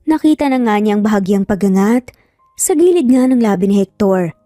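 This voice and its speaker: A woman's voice: medium pitch, animated, moderate pace, dark tone, dry recording, studio quality, faint music behind. A woman with a Filipino accent narrating a scripted story in a dramatic storytelling style.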